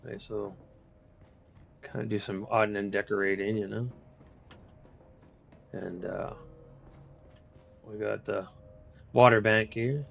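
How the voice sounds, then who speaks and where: A man's voice: fairly low pitch, flat delivery, slow pace, creaky, pauses often, fairly bright tone, soft background music. A man with a Boston accent casually showing viewers around his game base, rambling with fillers and restarts. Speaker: gameplay video host. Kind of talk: casual game walkthrough commentary.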